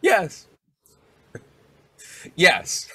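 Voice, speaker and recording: An actor playing an overwrought, emotional father in an old-time radio drama, halting and repeating himself. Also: pushed and bright, full character voice. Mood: tearful gratitude. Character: overwrought, tearful, grateful young father.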